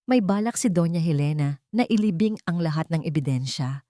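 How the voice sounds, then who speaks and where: A woman's voice: sits low, mellow, dry recording, clean studio sound. A woman voicing a female friend in hiding who gives a serious, urgent warning in a narrated drama.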